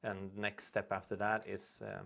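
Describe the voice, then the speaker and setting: A man's voice: fairly low pitch, completely flat delivery, unhurried, gravelly, a bit bright. A young Swedish man, speaking English as a second language, hosting a casual livestream. He rambles, reads viewer comments aloud and trips over his words. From Sweden. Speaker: livestream host. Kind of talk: casual live chat reading viewer comments.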